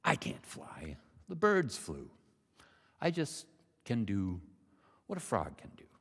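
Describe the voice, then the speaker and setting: A man voicing an earnest young frog who longs to fly, speaking in a halting, modest, plain way. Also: lowish voice, wildly swooping pitch, fairly bright tone, husky, full character voice. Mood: honest. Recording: live hall with long reverb.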